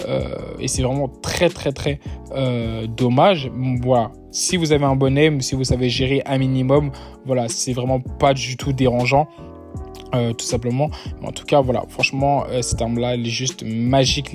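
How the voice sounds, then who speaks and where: A man's voice: mid-range voice, darkish tone. A young man with a middle-of-the-road voice gives loose gaming commentary, padding every phrase with fillers and slang as he talks to his viewers. Faint guitar music plays underneath. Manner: loose, chatty, constant fillers and "tout simplement" restarts; gamer slang, addresses viewers as "les gars". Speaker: mobile game content creator. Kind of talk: casual gaming commentary ranking weapons.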